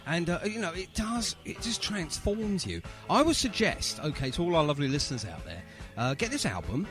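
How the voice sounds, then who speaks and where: A man's voice: fairly high pitch, very animated, brisk, over music. A man hosting a casual radio interview, chatting in a jokey, effusive way. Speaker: radio show host.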